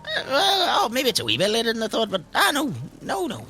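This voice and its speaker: A man playing a grumpy, evasive old man at a pub, halting and defensive, with an or lilt, in improvised tabletop roleplay. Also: extremely high pitch, huge pitch swings, pushed and bright, soft background music, exaggerated voice. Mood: flustered, defensive. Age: older. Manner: halting, defensive, repeats himself.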